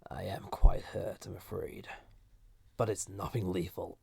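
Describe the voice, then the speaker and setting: Male actor as ancient banished entity, deep and husky, halting formal speech, gently condescending menace, intimate audio roleplay. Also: flat delivery, no echo, heavily acted. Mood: pained, reassuring. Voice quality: gravelly, husky, often dropping to a whisper. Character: ancient banished villain entity, wounded, soft-spoken and menacing. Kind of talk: intimate scripted audio roleplay addressed to listener.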